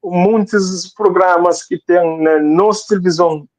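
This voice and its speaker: A man's voice: sits high, steady tone, unhurried, darkish tone, no echo. A man from Cape Verde, talking casually as a guest author, rambling and repeating phrases for emphasis. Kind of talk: casual interview talk.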